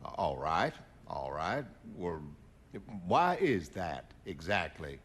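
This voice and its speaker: A man's voice: low-pitched, sing-song, slow, a bit bright, breathy edge, exaggerated voice. A man playing an earnest, mild middle-aged prison psychiatrist in a motion comic, polite and hesitant. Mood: gentle, probing. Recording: music behind the voice.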